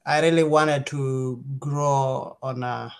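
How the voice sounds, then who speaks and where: A middle-aged man with a Kenyan accent, talking casually as an interview guest and pausing often mid-sentence. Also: mid-range voice, steady tone, smooth.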